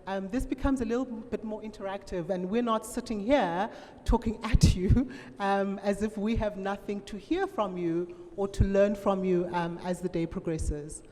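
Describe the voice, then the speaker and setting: A woman moderating a forum Q&A, speaking in a measured, hesitant way with many pauses. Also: medium pitch, dark tone. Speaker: panel moderator. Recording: echoey conference hall, faint noise.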